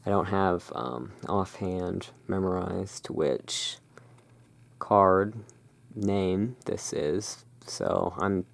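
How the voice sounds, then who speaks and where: A person speaking in a halting, musing way, explaining things as the owner of a gothic curiosity shop in an ASMR roleplay. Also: low voice, gravelly, no room sound. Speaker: roleplaying host. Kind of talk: ASMR roleplay, showing tarot cards.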